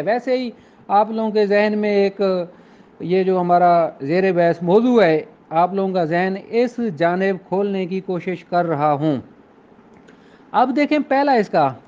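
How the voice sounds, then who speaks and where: A man giving an explanatory lecture in a didactic way, mixing in slowly and deliberately read English phrases. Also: high-pitched, a little mellow, muffled recording. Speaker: law lecturer. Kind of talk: explanatory law lecture with examples, code-switching into English.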